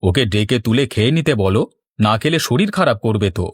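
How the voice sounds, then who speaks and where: A man voicing a worried, mild middle-aged village father in a cartoon, speaking in a measured, earnest way. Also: lowish voice, animated, husky, no echo, clean studio sound. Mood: concerned. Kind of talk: scripted Bengali cartoon dialogue.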